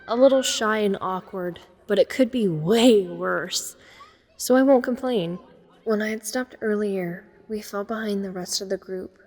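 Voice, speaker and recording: An introspective teenage outcast girl, played by a woman, tells her story in first person. Her voice is soft-edged and mellow at a middle pitch, trailing into long reverb. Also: natural voice. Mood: wistful. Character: introspective, self-conscious teenage girl, a science geek outcast. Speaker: narrator and lead character. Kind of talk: first-person dramatic narration.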